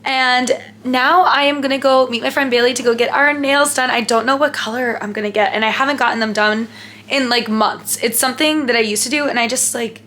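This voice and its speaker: A young woman in her twenties talks casually to her camera in a lifestyle vlog. Her voice sits a little high and sounds crisp and bright. She is upbeat and friendly, and she chats loosely with plenty of "like" and "um". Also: fairly even intonation, brisk, slight background noise. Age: 20s. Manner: chatty, filler-heavy with frequent "like" and "um". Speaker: lifestyle vlogger.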